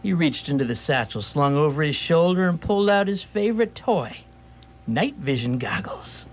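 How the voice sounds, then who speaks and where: A man playing a wry storyteller, narrating a scripted radio theatre story in a measured way. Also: fairly high pitch, animated. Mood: wry narration.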